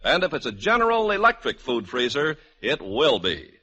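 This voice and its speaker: A man's voice: mid-range voice, huge pitch swings, normal speed, pushed and bright, husky, lo-fi sound. A man reading a 1950s radio advertisement as an announcer, with an emphatic salesmanlike delivery. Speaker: commercial announcer.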